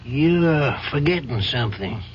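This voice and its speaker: An actor playing a measured, admonishing judge in an old-time radio drama, speaking deliberately on a muffled recording. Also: male voice, mid-range voice, lively intonation, a little hiss or hum, natural voice. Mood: stern reasoning. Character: measured, reasoning male judge, firm and paternal.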